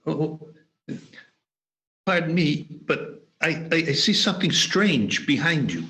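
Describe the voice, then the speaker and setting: A man's voice: fairly high pitch, sing-song, slow, sharp tone, exaggerated voice. A man playing a fearful, hesitant old miser in an amateur radio play recorded over a video call. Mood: anxious. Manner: hesitant, pleading. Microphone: home video call mic.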